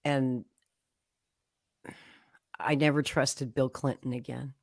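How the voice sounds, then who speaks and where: A woman tells her story as a guest on a talk show. Her voice sits low, and the sound is dry and close. She talks in a chatty way, laughing often and acting out the words of others. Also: steady tone, slow, dry recording. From Oklahoma, USA. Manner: chatty, frequent laughter, acts out quotes. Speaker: political guest. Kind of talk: conversational storytelling.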